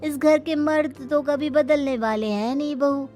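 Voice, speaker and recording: A woman's voice: high voice, a little slow, mellow, pitched above the performer's own voice. Resigned older female in-law, played by a woman: pleading, obedient, advising, moral tale over music. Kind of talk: Hindi moral story character voice.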